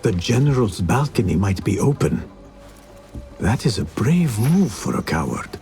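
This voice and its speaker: An actor voicing a dry, cool young assassin. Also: male voice, fairly low pitch, big pitch swings, unhurried. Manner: dry, quiet, understated remarks. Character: calm, cold assassin protagonist, young man. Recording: game audio with music behind.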